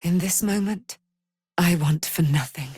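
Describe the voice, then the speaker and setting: A woman's voice: on the low side, a little slow, darkish tone, husky, dry recording, clean studio sound. An actress voicing a proud, righteous, vengeful immortal female knight in a grand, archaic, declamatory fantasy game style. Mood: proud, courtly. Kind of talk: theatrical fantasy game voice acting.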